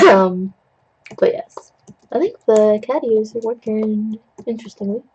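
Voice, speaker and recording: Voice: medium pitch, lively intonation, measured pace, slight background noise, low-quality recording. A young adult host rambling jokily with puns while painting at a desk, coughing now and then, on a webcam mic at home. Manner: chatty, self-deprecating, jokey with puns. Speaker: digital art tutorial host.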